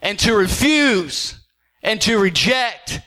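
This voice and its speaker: A male pastor preaching a sermon, rising into shouts and half-sung phrases and acting out quoted voices. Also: sits high, sing-song, takes it slowly.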